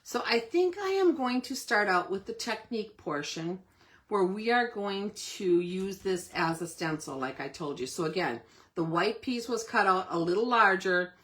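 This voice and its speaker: A woman with a slightly hoarse voice who keeps clearing her throat, giving a chatty step-by-step live card-making demonstration. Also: medium pitch, big pitch swings, moderate pace, a bit bright. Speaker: craft demonstrator.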